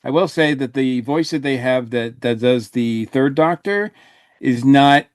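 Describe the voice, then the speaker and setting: Halting man in casual review chat, dry sound. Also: medium pitch, no echo. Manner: halting, frequent hesitations and repeated words. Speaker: podcast panelist.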